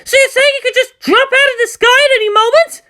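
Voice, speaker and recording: A woman voicing a hot-tempered, alarmed female military commander in a dramatic story reading, shouting with indignant emphasis. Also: very high voice, fairly even intonation, fast, pitched above the performer's own voice. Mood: panicked, shouting. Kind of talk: dramatic audiobook character acting.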